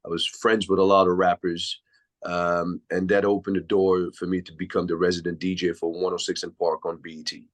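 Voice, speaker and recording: Deep-voiced Swiss man telling his story. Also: level tone, brisk. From Switzerland. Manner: reflective, long winding answers. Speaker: podcast guest, DJ. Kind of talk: casual interview storytelling.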